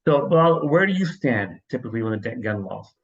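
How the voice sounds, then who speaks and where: A man's voice: medium pitch, big pitch swings, a little fast. A man hosts a casual podcast chat. He rambles and often restarts his sentences mid-thought, and his voice comes through slightly dull and muffled. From New York, US. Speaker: podcast host.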